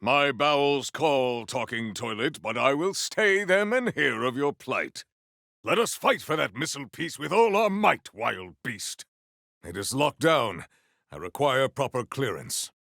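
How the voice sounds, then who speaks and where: A man voicing a proud, battle-hungry video game warrior, speaking in a theatrical, formal, declamatory manner with a gravelly, forceful edge. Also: mid-range voice, big pitch swings, measured pace, no room sound, clean studio sound, heavily acted. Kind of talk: video game combat barks.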